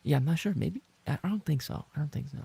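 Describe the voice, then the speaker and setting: A man chatting casually as a livestreamer, rambling and trading playful banter with his viewers, in a gravelly, husky voice. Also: a little high, fast talker, warm tone. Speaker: livestreamer and ASMR voice actor reading chat.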